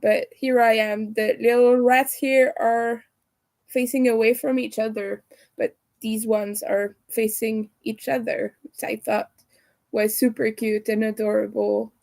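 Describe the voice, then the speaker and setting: A young woman with a raspy, hoarse voice, chatting casually with viewers as she crochets on a livestream. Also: a little high, flat delivery, unhurried, a little mellow. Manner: chatty, talks to viewers while working. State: hoarse from streaming a lot. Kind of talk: casual chat while crocheting live.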